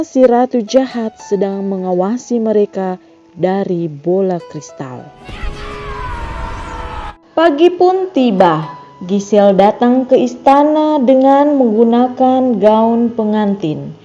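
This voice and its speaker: Slow, measured woman recap narrator. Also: a little high, animated, dark tone, dull audio, faint music behind. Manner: slow, measured reading aloud. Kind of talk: scripted story narration.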